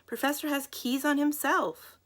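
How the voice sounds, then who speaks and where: A woman voicing a stubborn old housekeeper in a storybook, speaking in halting, broken English. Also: high-pitched, steady tone, a bit bright, voice pushed up. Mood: defensive.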